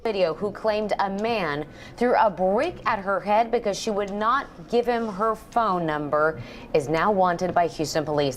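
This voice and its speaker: A woman news anchor reading a local TV news story in a measured, formal way. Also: mid-range voice, slight background noise.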